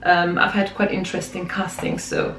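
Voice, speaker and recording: A young actress, from West Africa and Switzerland, talking casually in an interview with frequent ums and easy laughs. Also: female voice, on the low side, level tone, normal speed, a bit bright. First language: French. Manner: hesitant, many ums, laughs easily. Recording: echoey room, faint background noise.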